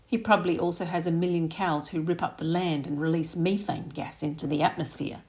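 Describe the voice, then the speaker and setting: A woman reading a romantic comedy aloud as expressive audiobook narration. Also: lowish voice, a little fast.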